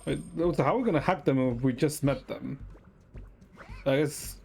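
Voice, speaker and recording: A man's voice: mid-range voice, moderate pace, creaky, a little mellow. A man giving casual gameplay commentary, muttering short reactions to himself as he goes. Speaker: game streamer. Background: game music.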